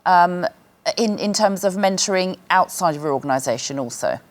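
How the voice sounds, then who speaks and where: A woman's voice: fairly low pitch, expressive, normal speed. A woman moderating a panel discussion, putting questions politely and conversationally, often restarting her phrases.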